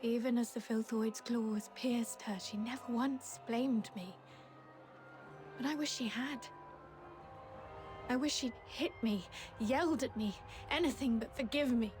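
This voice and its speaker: A resolute young female officer in a game drama acted by a woman speaks solemnly and from the heart. Her voice is on the high side, and music plays behind her. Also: unhurried, darkish tone, lightly acted. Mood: pained, remorseful. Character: earnest, resolute young female legion officer, guilt-haunted. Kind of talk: dramatic video game voice acting.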